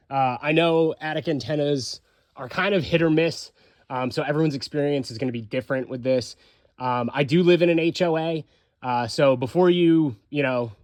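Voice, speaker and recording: A man's voice: mid-range voice, dry recording, clean studio sound. An American man giving a casual, hands-on gear review in a conversational, matter-of-fact way, recorded in his home attic. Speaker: vlogger, radio hobbyist.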